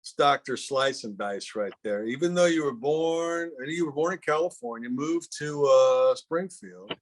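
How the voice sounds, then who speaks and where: A man's voice: mid-range voice, moderate pace. A man hosting a podcast, chatting casually and joking playfully with his guest. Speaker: podcast host. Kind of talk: casual chat.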